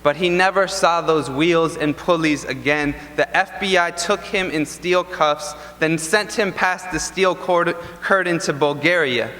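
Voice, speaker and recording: A young man performing spoken word poetry at an event, with a rhythmic, deliberate and impassioned delivery in a large ballroom. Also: fairly high pitch, pushed and bright. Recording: long reverb from a large ballroom.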